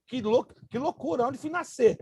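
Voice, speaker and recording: A man whose first language is Hebrew, a pastor teaching a Bible class, emphatic and joking, slowly repeating grammar terms. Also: very high voice, darkish tone, slight background noise.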